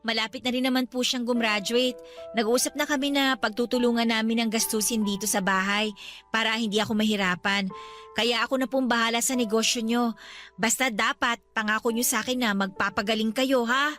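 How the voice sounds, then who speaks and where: An actress playing a devoted young adult Filipino daughter, gentle, respectful and reassuring, in a radio drama. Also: female voice, a little high, steady tone, brisk, soft background music, close to an everyday voice. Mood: loving, reassuring. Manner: caring, respectful. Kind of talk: Tagalog radio drama acting.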